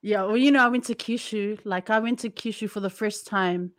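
A woman's voice: medium pitch, a little fast, no room sound. A woman hosting a podcast, chatting casually and enthusiastically with many fillers. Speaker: podcast host.

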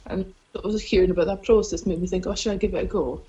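A woman's voice: on the low side, steady tone, fast, mellow, muffled recording. A young woman with a Welsh accent, a stand-up comedian chatting in an interview, rambling and self-deprecating with lots of fillers. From South Wales. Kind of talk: casual interview chat.

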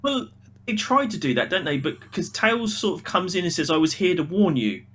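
A man with a fairly high, very bright and forceful voice. He talks as a podcast co-host in a casual film discussion, argues his opinions emphatically and slips into mock quotes to make his points. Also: normal speed, slightly rough. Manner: emphatic, animated arguing with acted-out mock quotes.

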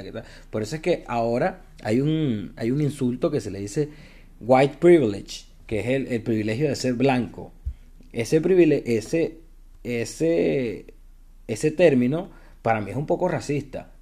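A man's voice: mid-range voice, mellow. Blunt Venezuelan man ranting casually. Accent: Venezuelan Spanish. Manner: blunt, opinionated, swears freely. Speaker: podcast host. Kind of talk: casual monologue.

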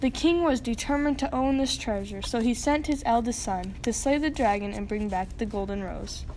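A woman's voice: on the high side, moderate pace, darkish tone, a little hiss or hum, poor audio. A woman reading a children's story aloud as its narrator, in scripted storytelling style. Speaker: storybook reader.

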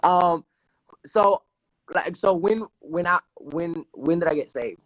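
Higher-voiced New Jersey man, playful and emphatic. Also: slow, rough recording. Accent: New Jersey, Dominican-influenced. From New Jersey, USA. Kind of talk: casual conversational interview.

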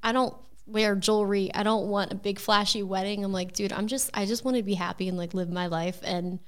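A woman in her early thirties talks as a guest in a casual chat. Her delivery is animated and full of exclamations. She uses loose, slangy phrasing at a middling pitch. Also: brisk, clear, resonant tone. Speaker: content creator, interview guest.

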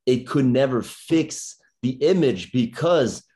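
A man's voice: mid-range voice, slow, warm tone. A man hosting an interview podcast, talking loosely and rambling with lots of "like" fillers. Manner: loose, rambling, heavy use of "like". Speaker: podcast host.

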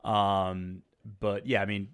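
A man's voice: on the low side, flat delivery, takes it slowly, fairly bright tone, clear, resonant tone. A man in his thirties hosts a podcast in a loose, rambling way. He jokes, circles back with fillers, and restarts his sentences as he goes. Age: 30s. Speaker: podcast host. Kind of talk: casual chat.

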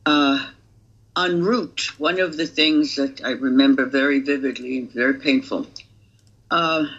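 Woman in her 80s from Romania, interview guest, measured halting delivery, grave, muffled sound. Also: rumbling low, slightly rough. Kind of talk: personal testimony.

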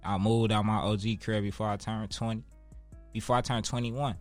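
A man's voice: fairly low pitch, little pitch movement, moderate pace, soft background music. A man in his twenties with a Chicago accent, talking casually as an interview guest in street slang, with profanity and emphatic repetition. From Chicago, United States. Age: 20s.

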